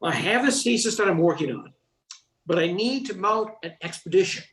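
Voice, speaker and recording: Animated, earnest, patriotic professor, acted by a woman. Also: on the low side, moderate pace, close to an everyday voice. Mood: earnest, dramatic. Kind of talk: comic radio play acting.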